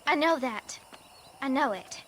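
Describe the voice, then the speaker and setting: An actress playing a frail, devout young woman in a video game, halting, dreamy and feverishly rapturous. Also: high voice, expressive, background noise. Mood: dreamy, nervous. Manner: halting, dreamy, fervently pious. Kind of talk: video game dramatic acting.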